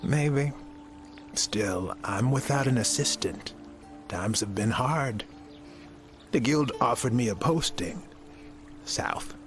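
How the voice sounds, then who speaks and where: An actor playing a weary, bitter middle-aged academic mentor with a gravelly, hesitant delivery in a video game. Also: male voice, medium pitch, takes it slowly, long pauses, lightly acted. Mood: resigned, bitter. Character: weary, bitter, bookish male scholar and former mentor. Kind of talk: video game cutscene acting. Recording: music under the voice.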